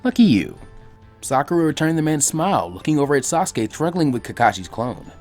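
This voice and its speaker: Fast, animated male story reader. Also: a little high, faint music behind. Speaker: narrator. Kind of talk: fan fiction reading.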